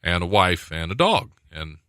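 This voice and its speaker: Radio host guy, really bright voice, jumps all over in pitch, dry studio sound, keeps laughing and ribbing his guest. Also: very animated, normal speed, clean tone, no echo. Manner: laughs often, teasing. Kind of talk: casual radio chat. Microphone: studio mic.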